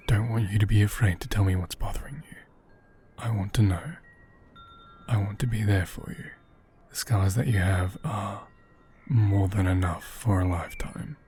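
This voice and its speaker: A man acts out a gentle doll owner in a comforting ASMR drama. His voice is low, dark and soft, drifting often into whispers. He speaks tenderly and haltingly, over faint background noise. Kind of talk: soft ASMR roleplay acting.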